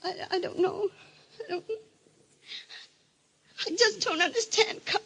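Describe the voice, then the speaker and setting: High-pitched, fragile, anxious wife. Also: female voice, a little slow, fairly bright tone. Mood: pleading, frantic. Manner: hesitant, pleading, tremulous. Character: fragile, high-strung wife doubting her own sanity. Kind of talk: old-time radio melodrama acting.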